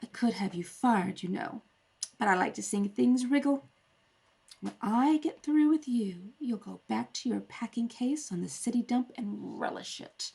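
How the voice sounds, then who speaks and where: A woman's voice: a little high, lively intonation, measured pace, gravelly, a little mellow, breathy edge, heavily acted. A woman acting a cruel, spoiled young socialite daughter in a radio comedy, with a cool, taunting, superior manner. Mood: menacing, taunting.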